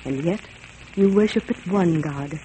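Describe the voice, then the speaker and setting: A man voicing a gentle, devoted young queen in a high falsetto, heard through dull old film sound. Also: measured pace, a little hiss or hum, lightly acted.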